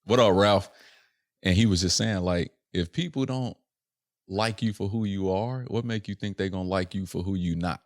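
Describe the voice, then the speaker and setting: A man's voice: low-pitched, normal speed, no room sound, clean studio sound. A young adult man from Chicago, a rapper talking as a podcast guest in an earnest, reflective way, often checking in with "right?". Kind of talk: casual reflective interview chat.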